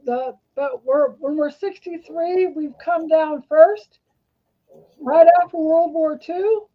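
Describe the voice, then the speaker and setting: A woman in her sixties speaking hesitantly in a casual conversation. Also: sits high. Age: 60s. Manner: hesitant, halting. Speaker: co-host. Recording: dull lo-fi audio.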